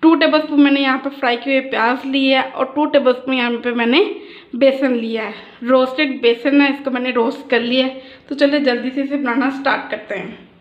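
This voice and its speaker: A woman with a Pakistani Urdu accent, hosting a cooking channel and calmly walking through a recipe step by step, listing each measure. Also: sits high, brisk. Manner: calm instructional, listing measures. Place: home kitchen. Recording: echoey kitchen, dull lo-fi phone audio.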